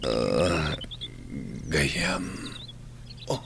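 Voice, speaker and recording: Swooping, brooding Filipino fugitive. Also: male voice, extremely low pitch, expressive, very slow, gravelly, background noise, full character voice. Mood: dying, weak. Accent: Filipino (Ilocano). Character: fatalistic armed male fugitive, defiant toward his friend. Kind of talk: radio drama acting. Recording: old AM radio drama, music underneath. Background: dramatic music.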